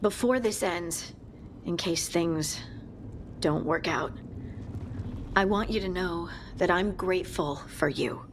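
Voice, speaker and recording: A woman's voice: on the low side, slow pace, long pauses, noisy background. An actress playing a loyal, determined female fighter in a fantasy game, sincere and plain-spoken. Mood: grateful, determined. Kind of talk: scripted fantasy game dialogue.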